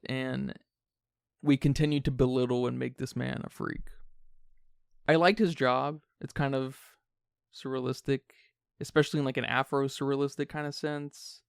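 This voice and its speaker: A man's voice: medium pitch, fairly even intonation, moderate pace, vocal fry, clean tone, no echo, studio quality. A man co-hosting a podcast, talking casually and analytically about film in wordy phrasing. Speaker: podcast co-host.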